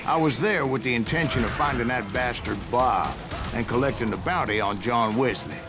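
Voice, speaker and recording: An actor playing a grizzled old gunslinger with an American Western accent, spinning boastful, salty tales in a video game. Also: male voice, mid-range voice, normal speed, natural voice. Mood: dramatic, boastful. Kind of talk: dramatic storytelling narration. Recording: music behind the voice.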